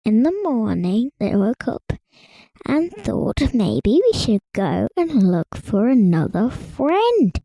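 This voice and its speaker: A woman's voice: on the high side, very animated, slow, dark tone, no room sound. A woman narrating a bedtime story as a podcast co-host.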